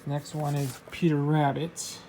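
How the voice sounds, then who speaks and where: A man casually showing his record collection, reading out titles in a halting, offhand way. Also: fairly high pitch, little pitch movement, warm tone, a little hiss or hum. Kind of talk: casual show-and-tell vlog.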